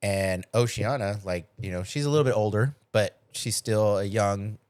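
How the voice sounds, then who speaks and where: A man's voice: on the low side, moderate pace, darkish tone, clear, resonant tone, no echo. A middle-aged man in a casual podcast chat, telling personal stories with acted-out quotes and loose, salty language. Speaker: podcast host.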